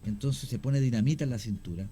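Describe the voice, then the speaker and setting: A man with a Chilean accent, a guest on a radio talk show, telling stories in a measured way with many hesitations. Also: mid-range voice. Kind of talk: radio interview storytelling.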